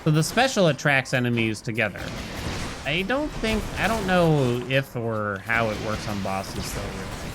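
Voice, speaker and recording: A man's voice: mid-range voice, lively intonation, normal speed. A man giving casual live video game commentary, thinking aloud as he plays. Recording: music behind the voice. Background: game music.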